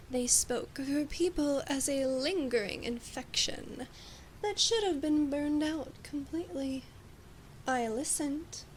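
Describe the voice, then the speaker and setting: A woman voicing a haughty, domineering demon queen in an ASMR roleplay, measured and condescending, slipping at times into whispers. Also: high-pitched, darkish tone, slight background noise, poor audio. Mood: cool authority. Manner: imperious, measured, condescending, drifting into breathy whispers. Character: haughty, domineering female demon queen addressing her human knight. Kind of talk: ASMR roleplay, scripted monologue.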